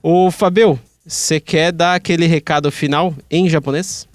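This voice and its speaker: A man's voice: a little high, steady tone, normal speed, no echo, soft background music. A man with a Brazilian accent hosting a casual podcast chat in an informal, slangy way, with some swearing. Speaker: podcast host.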